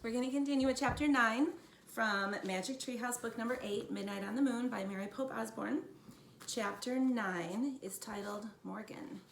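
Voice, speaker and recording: A woman hosting a children's storytime, reading aloud expressively and deliberately, with casual asides. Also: fairly high pitch, gravelly. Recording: roomy, distant mic, faint background noise.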